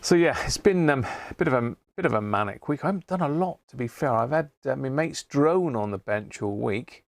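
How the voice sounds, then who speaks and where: A man's voice: medium pitch, lively intonation, fairly quick, creaky, hardly any pauses, no room sound. A British man hosting a casual live hobby stream, talking in a rambling, matey way. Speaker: host of a live radio-repair stream. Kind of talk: casual livestream chat.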